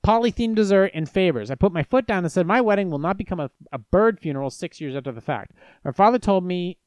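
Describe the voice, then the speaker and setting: A young man with a slightly high, smooth voice, recorded close and dry. He reads advice letters aloud and then answers them bluntly and irreverently, cursing freely and restarting his sentences. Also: lively intonation, quick pace, a little mellow, dry recording. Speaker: advice show host.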